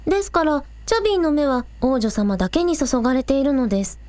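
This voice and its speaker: Woman voicing an earnest high-pitched teenage idol girl. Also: sing-song, fast talker, darkish tone, dry recording. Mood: hesitant, earnest. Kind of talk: Japanese audio drama acting.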